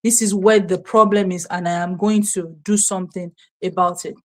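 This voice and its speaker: A woman's voice: lowish voice, fairly even intonation, normal speed, darkish tone. A Nigerian woman whose first language is Yoruba, hosting a motivational livestream, repeating key words and asking her audience rhetorical questions. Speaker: livestream host and self-help coach. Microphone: streaming setup, talking to camera.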